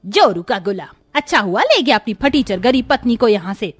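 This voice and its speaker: A woman's voice: a little high, big pitch swings, normal speed, slightly rough, dry recording. A woman voicing a greedy middle-aged mother-in-law in a moral cartoon story, with a melodramatic delivery. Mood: indignant.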